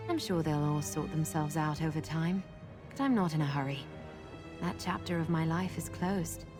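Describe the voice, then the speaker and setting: A woman voicing a thoughtful, hopeful heroine in a fantasy game, speaking earnestly and reflectively. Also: deep voice. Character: thoughtful, hopeful young woman freed from prophecy. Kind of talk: scripted fantasy game acting.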